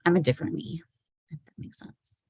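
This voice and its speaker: A woman's voice: fairly quick, darkish tone, no echo, clean studio sound. A woman poet answering questions about her work in an informal, musing, self-questioning way. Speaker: poet and anthology editor, guest. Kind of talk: poetry reading and conversational Q&A.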